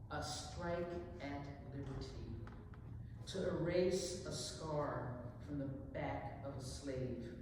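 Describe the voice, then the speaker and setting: Humble, resolute former slave played by a man, unhurried delivery, distant in a noisy hall. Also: fairly high pitch. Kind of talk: dramatic poetry reading. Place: large indoor hall at a live event.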